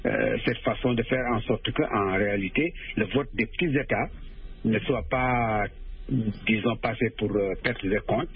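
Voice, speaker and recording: A man, a radio guest, explaining at length with measured hesitations and self-corrections over a phone line. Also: lowish voice, slightly rough.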